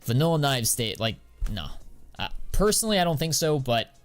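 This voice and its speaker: A man's voice: medium pitch, very animated, moderate pace, clear, resonant tone, faint music behind. Young adult American man, easygoing streamer, casual slang, medium voice. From United States. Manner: slangy and laid-back, drawls filler words. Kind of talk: casual live-stream chat, answering viewers.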